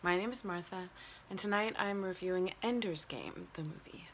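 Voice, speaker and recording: A woman's voice: on the low side, moderate pace, a little hiss or hum. A woman hosting a casual solo movie review, talking in loose, hesitant phrases as she thinks aloud. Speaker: movie review show host.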